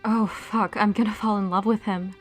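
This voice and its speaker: A woman's voice: medium pitch, fairly even intonation, moderate pace, soft background music, natural voice. A young woman playing an easygoing college student in an audio drama, speaking casually and naturally. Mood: quietly smitten. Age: young adult.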